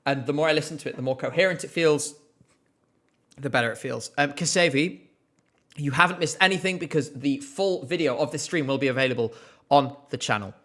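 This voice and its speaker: A man's voice: a little high, fairly quick, fairly bright tone. A man hosting a casual live stream, chatting in a rambling way with lots of fillers and a slight cough. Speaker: livestream host.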